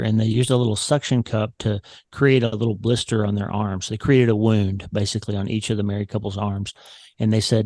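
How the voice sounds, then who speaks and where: A man's voice: fairly low pitch, a little mellow, no echo. A man, a surgeon explaining research studies at length in a video-call interview from a home office. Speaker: neurosurgeon guest. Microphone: large studio mic on boom arm.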